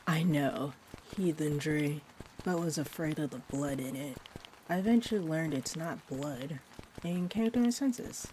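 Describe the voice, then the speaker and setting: A woman playing a sweet, shy female vampire in an intimate roleplay audio, rambling gently and hesitantly. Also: sits low, measured pace, poor audio. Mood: chatty, playful. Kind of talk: roleplay audio, intimate one-sided chat. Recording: steady rain-like ambient noise. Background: rain ambience.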